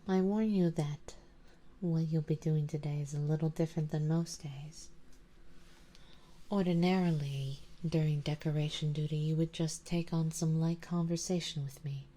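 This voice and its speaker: A woman playing an elegant, doting, possessive vampire noble in an ASMR roleplay, in a soft, breathy, mostly whispered voice with a genteel, coaxing manner. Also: low-pitched, steady tone, normal speed. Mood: composed, coaxing.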